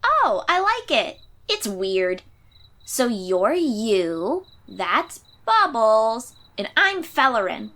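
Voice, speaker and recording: A woman's voice: on the high side, very animated, extremely slow, bright, forceful tone, heavily acted. A woman voicing a confident, chatty thirteen-year-old sea-dweller girl warrior in a fantasy audio roleplay, perky and informal. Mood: friendly, confident.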